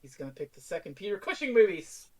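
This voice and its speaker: Animated, playful co-host. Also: mid-range voice, quick pace. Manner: teasing, playful. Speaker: podcast co-host. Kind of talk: casual banter.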